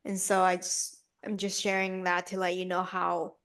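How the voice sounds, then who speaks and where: A woman's voice: fairly low pitch, monotone, moderate pace, fairly bright tone. Curious woman podcast host. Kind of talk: interview chat.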